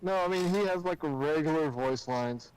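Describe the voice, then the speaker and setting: A man's voice: a little high, normal speed, darkish tone, smooth, rough recording. A man chatting casually as a guest on a game livestream. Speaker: guest co-streamer. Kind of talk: casual chat. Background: faint game music.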